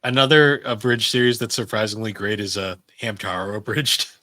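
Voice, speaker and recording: A man's voice: lowish voice, a little fast, pushed and bright, no echo. A man co-hosting a podcast, chatting casually and informally in loose, rambling remarks. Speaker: podcast co-host. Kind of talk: casual chat about anime and games.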